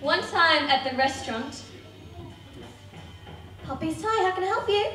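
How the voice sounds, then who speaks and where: A young woman with a very high voice tells a wry, animated story on a theatre stage. She is heard from some distance in a roomy hall, with music playing behind her. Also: a little slow. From Australia. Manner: wry, animated, acts out other people's words. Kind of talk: personal story told on stage.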